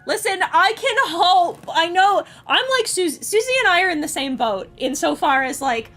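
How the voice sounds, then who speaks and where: A woman streaming, chatting casually and excitably as she reacts to game trailers with friends. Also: very high voice, moderate pace, faint music behind. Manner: excitable, chatty, bursts into squeals. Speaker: streamer host.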